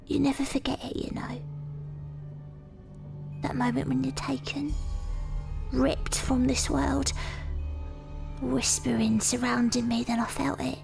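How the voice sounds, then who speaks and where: Woman playing haunted young Victorian woman, hushed near-whisper narration, very gravelly voice. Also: sits high, level tone, a little slow, long pauses, music in the background, full character voice. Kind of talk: first-person audio drama narration.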